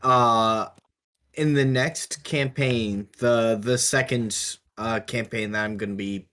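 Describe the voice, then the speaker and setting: A man co-hosting a casual chat about tabletop gaming, speaking in a halting, hesitant way. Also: medium pitch, steady tone, a bit bright. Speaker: podcast co-host.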